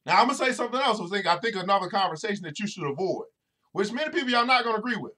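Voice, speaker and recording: High, bright-voiced man preaching. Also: animated, racing. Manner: preachy, repeats phrases for emphasis, talks to listeners directly. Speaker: financial educator and podcast host. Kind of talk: motivational podcast talk.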